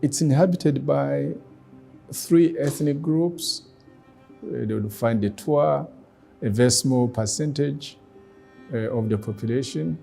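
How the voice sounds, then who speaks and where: A middle-aged man with a Rwandan accent, a native Kinyarwanda speaker, talking reflectively in a deliberate, measured way with pauses. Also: mid-range voice, creaky, mellow, soft background music. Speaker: guest speaker, former ambassador. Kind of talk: reflective personal talk.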